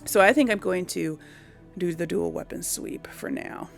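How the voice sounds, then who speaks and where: A woman's voice: lowish voice, normal speed, gravelly, soft background music. A woman giving casual video game commentary, thinking aloud in a hesitant, musing way. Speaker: video game let's player.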